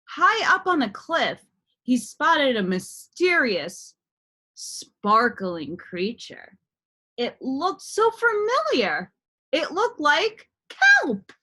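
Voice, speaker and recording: Animated, unhurried woman reading a children's story. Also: high-pitched. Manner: animated, deliberate, dramatic emphasis. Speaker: storyteller reading a picture book aloud. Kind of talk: expressive children's read-aloud narration.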